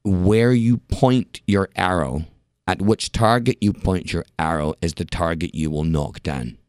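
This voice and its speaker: A man's voice: deep voice, a little slow, no echo. A man in his late thirties from Scotland, an actor telling stories with wry jokes and laughter as an interview guest in a podcast studio. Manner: expressive storyteller, wry jokes, frequent laughs. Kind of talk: casual interview talk.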